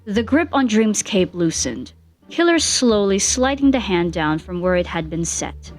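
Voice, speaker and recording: A woman's voice: medium pitch, sing-song, measured pace, faint music behind. A woman working as narrator, reading a fan fiction story aloud in a dramatic storytelling style.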